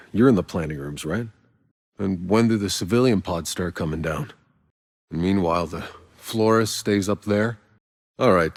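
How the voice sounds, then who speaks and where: An actor playing a gruff, world-weary soldier in a video game, blunt and sardonic, with a gravelly voice. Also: male voice, low voice, long reverb tail, heavily acted. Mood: matter-of-fact, then wry, teasing. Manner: blunt, sardonic, casual and profane. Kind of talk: video game character dialogue.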